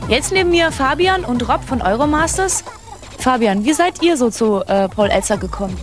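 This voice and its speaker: A woman presenting a music TV show, asking guests brisk questions. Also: high voice, animated. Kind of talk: interviewing guests.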